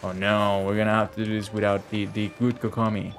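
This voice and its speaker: A man's voice: lowish voice, level tone, brisk. A man giving casual live-stream game commentary in slangy, chatty language with plenty of swearing. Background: game music.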